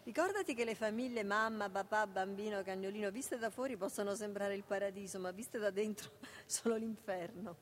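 A woman's voice: mid-range voice, a little fast, slight background noise, natural voice. An actress playing a proud, protective mother and teacher, correcting and interrupting in a naturalistic radio drama. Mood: wry, bitter. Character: proud, sharp-tongued mother and schoolteacher, protective, correcting her son.

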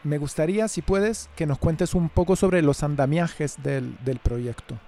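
A man's voice: on the high side, unhurried, gravelly, warm tone. A man hosting a conversational radio interview and asking questions.

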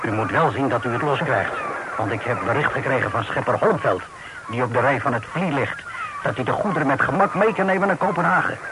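A man's voice: mid-range voice, fairly quick, natural voice. Man playing a folksy, grumbling old-time skipper. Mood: grumbling, practical. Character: plain-spoken, shrewd male skipper serving a merchant. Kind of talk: old radio drama acting. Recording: noisy old radio play recording.

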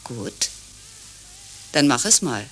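A woman's voice: rumbling low, big pitch swings, slow pace, fairly bright tone, soft background music, heavily acted. An actress playing a distrustful, needling woman in radio play dialogue, insistent and probing. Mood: suspicious, needling. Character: distrustful, needling visitor pressing for honesty.

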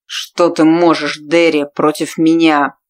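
Brief: woman putting on a brutal male warlord in an audiobook, slow, loud, growling menace. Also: low voice, little pitch movement. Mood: menacing.

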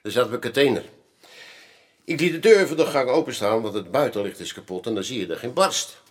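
A man's voice: mid-range voice, animated, brisk, close to an everyday voice. A man playing a blunt, chatty pub landlord in a radio drama. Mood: chatty, recounting. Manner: blunt, colloquial, bossy. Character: gruff, chatty male Amsterdam pub landlord. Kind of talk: Dutch radio drama acting.

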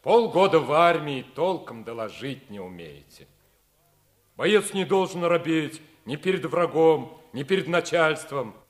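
A man playing an authoritative but good-humored army lieutenant colonel, brisk and paternal, in a 1950s Soviet radio play. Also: fairly high pitch, lively intonation. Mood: stern.

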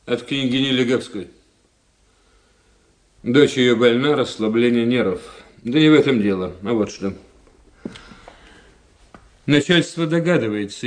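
An actor playing a discreet, worldly doctor in a radio play, speaking in a matter-of-fact, confidential way. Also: male voice, mid-range voice, close to an everyday voice. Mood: confidential, cautioning. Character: discreet, worldly army doctor warning his friend.

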